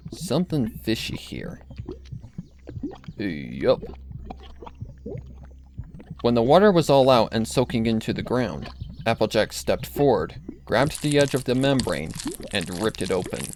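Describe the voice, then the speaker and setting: A man's voice: mid-range voice, expressive, moderate pace, noisy background. A man reading a story aloud as scripted narration.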